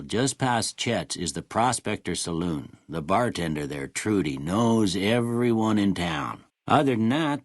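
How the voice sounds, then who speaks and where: An actor playing a kindly, world-weary old small-town doctor in a video game, with a husky voice and a folksy, rustic American drawl. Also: male voice, lowish voice, dry recording, clean studio sound. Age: older. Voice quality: husky, gravelly. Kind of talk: video game character dialogue.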